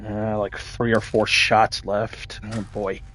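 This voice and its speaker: A man's voice: fairly low pitch, level tone, slow, fairly bright tone. A chatty gaming YouTuber, a man whose voice is still slightly scratchy from illness, talks casually through his gameplay in an upbeat manner. Faint music plays underneath him.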